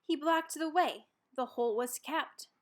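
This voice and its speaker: A woman's voice: sits high, a little slow. A woman reading a rhyming children's picture book aloud, expressively and with dramatic emphasis on key words. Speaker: storybook reader.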